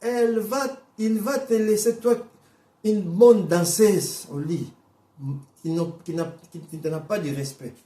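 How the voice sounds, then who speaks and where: A man preaching a sermon in as a Portuguese first-language speaker, emphatic, repeating and restarting phrases, swinging between slow stressed words and quick bursts. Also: high voice, mellow, echoey room. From Portuguese-speaking Africa.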